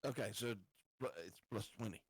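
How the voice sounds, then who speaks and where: A man's voice: medium pitch, brisk, slightly rough, no echo. Man chatting as a tabletop player, dry sound. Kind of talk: casual game chat.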